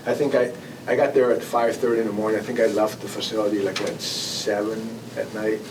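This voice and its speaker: A man giving an informal talk as an air traffic control manager, conversational and halting, often repeating and rephrasing his words. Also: on the low side, level tone. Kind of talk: informal presentation with audience questions. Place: club meeting room. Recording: distant mic, noisy meeting room.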